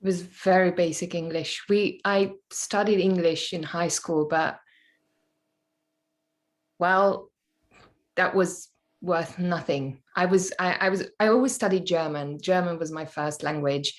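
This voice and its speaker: Low-voiced woman, thoughtful. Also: a little slow. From Hungary. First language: Hungarian. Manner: thoughtful, searching for words. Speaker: podcast guest, actor. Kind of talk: casual interview chat.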